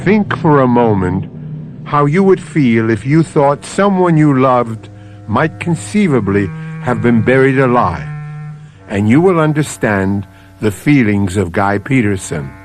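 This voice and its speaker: Male radio mystery host, dramatic scripted narration, unhurried and deliberate, expressive pitch, light music behind. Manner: deliberate, suspenseful, addresses the listener.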